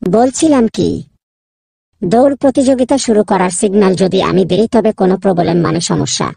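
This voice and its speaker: A man voicing a gravelly, husky cartoon goat. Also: high voice, normal speed, warm tone, no room sound, full character voice. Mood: hesitant. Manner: hesitant, polite, repeats itself. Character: fussy goat who asks permission. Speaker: race starter. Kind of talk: cartoon voice acting.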